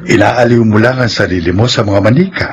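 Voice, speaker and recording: An actor playing a kindly middle-aged psychiatrist in a radio drama, explaining things in a reassuring way. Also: male voice, on the low side, a little fast, a little hiss or hum, close to an everyday voice.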